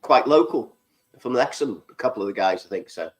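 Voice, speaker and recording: A man in his forties with a North Welsh border accent chats on a home video call. He tells loose, sweary stories at an ordinary pitch. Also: lively intonation, a little fast. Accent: North Welsh, near the English border. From Wales. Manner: swearing, storytelling, mimics voices in anecdotes. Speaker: host. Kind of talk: casual chat. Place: home room with a computer screen behind. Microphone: webcam video call.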